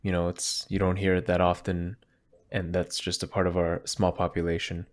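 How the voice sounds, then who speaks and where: A male podcast host asks his guest questions in a relaxed, conversational tone. His voice is very low. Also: normal speed. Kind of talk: casual interview questions.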